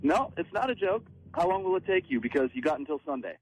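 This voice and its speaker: A man confronting his partner on a radio show, halting and accusatory, often repeating his words. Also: a little high, huge pitch swings, creaky, a little hiss or hum, low-quality recording.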